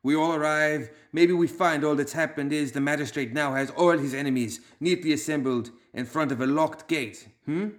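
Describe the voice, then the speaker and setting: A gruff Balkan-accented gang boss in an audiobook tale, acted out by a man. He growls in clipped, hard-edged phrases, commanding and distrustful. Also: a little high, normal speed, hardly any pauses, voice pushed up, close to an everyday voice. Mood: wary, mocking. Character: gruff, suspicious, commanding male gang leader.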